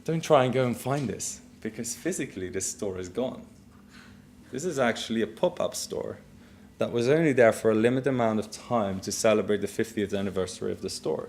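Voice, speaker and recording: A man with a Dutch accent giving a conference keynote in a relaxed, conversational way while walking through a live demo. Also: mid-range voice. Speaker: keynote speaker. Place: conference stage.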